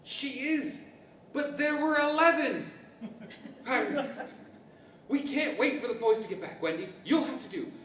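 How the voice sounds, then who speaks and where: A man acts a cocky boy adventurer in a live radio play. The voice is extremely high, brash and bossy, giving orders. It echoes through a hall, some way from the microphone. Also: fairly bright tone. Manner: brash, bossy, hurried commands. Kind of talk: 1940s radio-theater acting. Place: large library hall, live stage performance. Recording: echoey hall, distant mic, faint noise.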